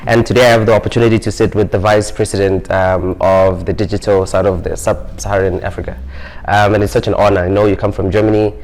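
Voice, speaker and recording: Male podcast host, low voice, eager, friendly, a few uhs and ums. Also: little pitch movement, fast, darkish tone, low-quality recording. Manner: friendly, eager, hesitant fillers. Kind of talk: casual interview questions.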